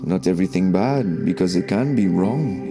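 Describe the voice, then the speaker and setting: A man with a low, gravelly voice delivers scripted spiritual teaching. He speaks very slowly, in a solemn and declamatory manner. Music plays clearly behind his narration. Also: expressive. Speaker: narrator and host of a spiritual story series. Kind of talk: scripted narration, preachy spoken-word teaching. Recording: voice over background music.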